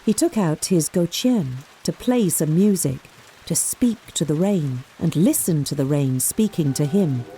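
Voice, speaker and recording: A woman narrating a scripted folk tale in an expressive, measured storyteller's manner. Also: low voice, a little hiss or hum, poor audio.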